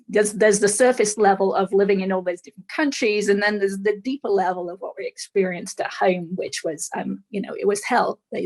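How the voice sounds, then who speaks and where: A woman's voice: medium pitch, a little mellow. An older woman from England with a mid-Atlantic accent that still has some English in it. She tells her life story in a podcast interview over a video call, candid and reflective, with some hesitations. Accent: mid-Atlantic, slightly English. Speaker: guest. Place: small room with purple light. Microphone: video call, dark mic in front.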